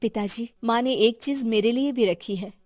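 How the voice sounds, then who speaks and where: Dutiful daughter-in-law, voiced by a woman, calm, respectful, slightly high, dry sound, cartoon. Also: moderate pace, dry recording, natural voice. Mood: composed.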